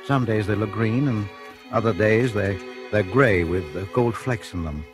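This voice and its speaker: An actor playing a meek, nervous husband with a hesitant, stammering delivery in 1940s radio drama style. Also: male voice, on the low side, moderate pace, lightly acted. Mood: flustered, nervous. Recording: 1940s radio recording with music.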